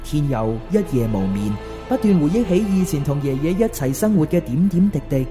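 A woman's voice: low voice, animated, fairly quick, music in the background. A woman, narrating a scripted radio drama as a storyteller.

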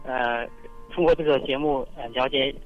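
A man's voice: medium pitch, steady tone, moderate pace, muffled recording, soft background music. Hesitant man calling from Shanghai. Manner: hesitant, many fillers, thoughtful. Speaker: caller. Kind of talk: phone-in conversation. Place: outdoors in strong wind.

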